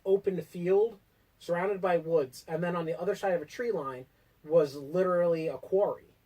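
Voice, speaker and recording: High-pitched American man, off the mic. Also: dark tone. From Connecticut, United States. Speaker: co-host. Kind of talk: casual storytelling chat. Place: basement room. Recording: voice somewhat distant from the mic.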